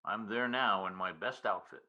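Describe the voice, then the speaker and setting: A man playing a bashful, folksy reporter courting his sweetheart in an old-time radio comedy, with a hesitant, halting manner. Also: lowish voice, dull audio, lightly acted. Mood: eager. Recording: video-call radio play recording.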